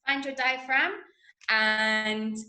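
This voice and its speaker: A woman with an English accent teaching an online drama workshop, enunciating clearly and coaching with encouragement. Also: a little high, steady tone, very slow, sharp tone, faint music behind. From Manchester, England. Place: indoor room, online video call. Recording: roomy, echoing video-call sound.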